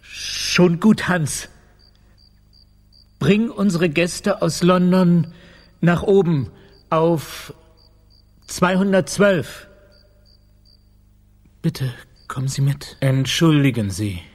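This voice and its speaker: An actor playing an older, courteous apartment owner and father in a radio drama, speaking haltingly. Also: on the high side, expressive, a little mellow, full character voice. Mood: shaken, grieving.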